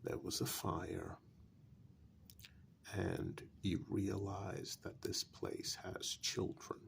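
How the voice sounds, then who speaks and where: Casting: male performer as a patient, apologetic confidant in a gothic vampire role-play, low voice full of heavy fry, hushed delivery. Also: little pitch movement, a little hiss or hum, full character voice. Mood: patient, conciliatory. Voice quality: soft, breathy, gravelly. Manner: slow, measured, patient, with long pauses. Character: calm, apologetic male confidant explaining a vampire curse in an old castle. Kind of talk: soft-spoken ASMR role-play.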